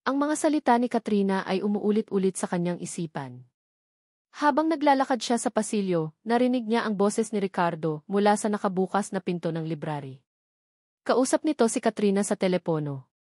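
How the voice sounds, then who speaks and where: Female narrator for romance audio drama: scripted storytelling, medium pitch, very dry sound. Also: expressive, a little fast, darkish tone, no echo.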